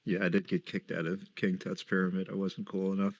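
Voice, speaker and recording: A man's voice: deep voice, little pitch movement, a little fast, slightly rough, no room sound, highs cut off. A man making casual, teasing commentary with dry, mock-sincere jokes. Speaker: commentator reacting to a story. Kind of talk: casual joking banter.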